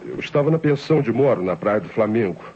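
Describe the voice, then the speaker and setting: A man's voice: mid-range voice, lively intonation, fast talker, natural voice. A man with a Brazilian accent playing an anxious young novelist under suspicion in an old radio drama, defensive and hesitant. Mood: uneasy. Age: young adult. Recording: dull, noisy old radio drama recording.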